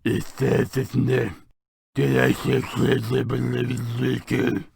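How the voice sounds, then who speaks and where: A man voicing a cruel, pompous dark wizard villain with a gravelly, raspy, drawn-out delivery. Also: mid-range voice, little pitch movement, dry recording, studio quality, exaggerated voice. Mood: cruel menace. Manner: slow, drawn-out, menacing.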